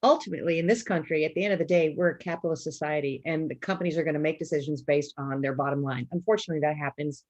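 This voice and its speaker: A woman panelist speaking over a video call in a measured, pragmatic way. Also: low-pitched, a little mellow. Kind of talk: panel discussion. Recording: muffled video call audio.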